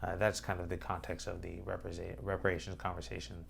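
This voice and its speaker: A young man giving a hesitant voice-over introduction to an interview with his father, filling pauses with many "uh"s. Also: low voice, level tone, gravelly, a little hiss or hum. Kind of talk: recorded voice-over explaining context.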